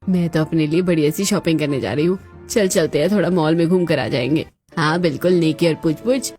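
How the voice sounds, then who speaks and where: Fields: Figure: a woman doing a chatty middle-aged mother-in-law. Pitch: lower. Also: fairly quick, darkish tone, faint music behind, natural voice. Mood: breezy.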